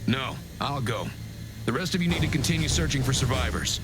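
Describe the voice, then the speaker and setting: Male actor as grave sci-fi commander, medium pitch, commanding, burdened by duty, cartoon drama, clear music behind. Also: expressive, fairly quick, lightly acted. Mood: resolute. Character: stern, weary male battalion commander, self-blaming and dutiful. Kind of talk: animated motion-comic drama acting.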